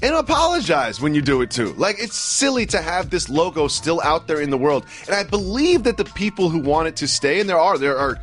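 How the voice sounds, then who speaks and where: American guy doing sports radio banter, kinda high voice, really animated and emphatic, faint music behind. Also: brisk, hardly any pauses. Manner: emphatic, repeats himself, peppers co-host with questions. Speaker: sports talk show co-host.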